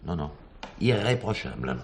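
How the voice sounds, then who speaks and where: A man's voice: low voice, very animated, normal speed, vocal fry, darkish tone, a little hiss or hum, full character voice. A man playing a courteous, self-assured diamond broker in a 1970s French stage-style drama. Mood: composed. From Transvaal, South Africa.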